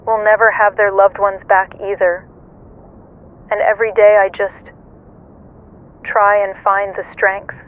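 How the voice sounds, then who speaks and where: A woman playing a grieving, guilt-ridden single mother giving halting, sorrowful testimony in a radio horror drama. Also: mid-range voice, clear, resonant tone, narrow, tinny audio, lightly acted.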